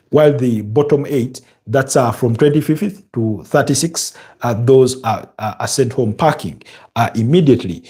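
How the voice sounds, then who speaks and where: A man with a Ugandan accent hosting a live football stream, chatting in a halting way and answering viewer comments. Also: medium pitch, dark tone. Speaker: football show host.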